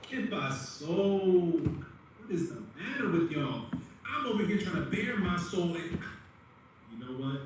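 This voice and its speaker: A man's voice: high voice, big pitch swings, measured pace. A man playing a young performance artist on a livestream, casual and slangy, earnest and easily exasperated, in an audio drama. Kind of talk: audio drama acting and narration. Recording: very echoey, distant, faint noise.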